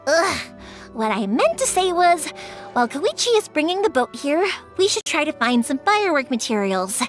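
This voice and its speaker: A woman's voice: high voice, sing-song, moderate pace. A woman voicing a cheerful young fireworks maker who chatters on in a bubbly, rambling way. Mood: chatty. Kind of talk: video game voice acting. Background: soft game music.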